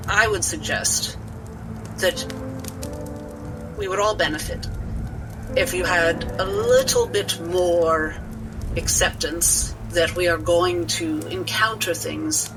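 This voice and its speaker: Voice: medium pitch, low-quality recording. A player speaking in character in a formal, articulate, lecturing way. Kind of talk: in-character tabletop play.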